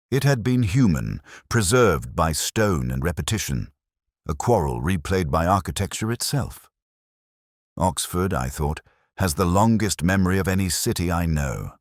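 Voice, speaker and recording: A man reading a first-person detective story as narrator, in a measured, brooding, reflective way, close to a studio mic. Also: very deep voice, no room sound.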